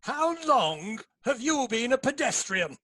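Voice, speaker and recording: A man's voice: extremely high pitch, sing-song, slow, pushed and bright, full character voice. A man playing a jealous army Major in a stage play reading, clipped and suspicious. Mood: gruff.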